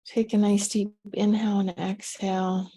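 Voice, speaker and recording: Mellow-voiced woman guiding a meditation. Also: mid-range voice, flat delivery, poor audio. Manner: gentle, unhurried instruction with pauses. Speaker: meditation guide. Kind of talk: guided meditation.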